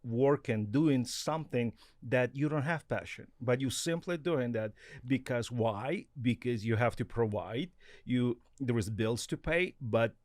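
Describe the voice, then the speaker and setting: A man hosts a casual interview in a mid-pitched voice. He speaks haltingly, pausing and restarting as he looks for the right words in a language that is not his first. The recording is dry. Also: a little mellow, no room sound. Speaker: podcast host.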